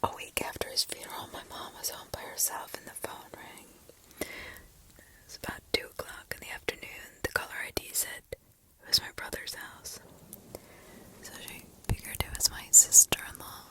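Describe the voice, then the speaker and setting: A woman reads scary stories in a hushed, breathy ASMR whisper with deliberate pauses, close to the microphone. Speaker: ASMR host. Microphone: close ASMR microphone, ear to ear.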